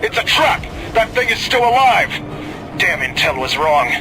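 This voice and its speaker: Intense space squad leader, highish voice, short forceful orders, game music behind. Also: male voice, sing-song, moderate pace. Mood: alarmed. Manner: forceful, clipped commands. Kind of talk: scripted game combat radio chatter.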